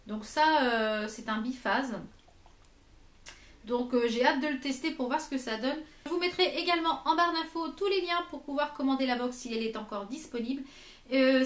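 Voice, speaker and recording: High-voiced woman, echoey room. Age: late 30s. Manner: chatty, hesitant, reads labels aloud. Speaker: beauty vlogger presenting a product box. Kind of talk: casual product review.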